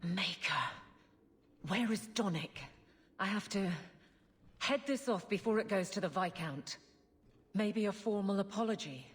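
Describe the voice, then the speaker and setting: An actress playing a blunt, earnest woman guard captain who gets flustered and halting, in cinematic video game dialogue. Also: mid-range voice, animated, husky. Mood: flustered, irritated.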